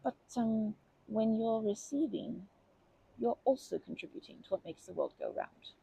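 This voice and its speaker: Woman playing a husky, creaky old grandma. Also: on the high side, lively intonation, heavily acted, low-quality recording. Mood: earnest, reassuring. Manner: gentle, rambling, halting, doting endearments. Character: kindly, chatty, nurturing old grandma lady. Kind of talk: ASMR comfort roleplay.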